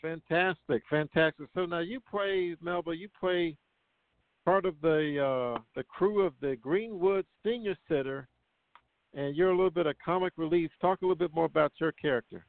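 Male radio host, slightly high pitch, casual interviewing, thin telephone sound. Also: moderate pace, no room sound. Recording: tinny phone-line sound.

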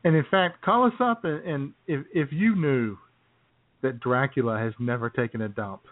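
A man's voice: medium pitch, moderate pace, dry recording. A man co-hosting a radio show with casual, jokey, rambling comic chat. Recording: dull lo-fi radio audio.

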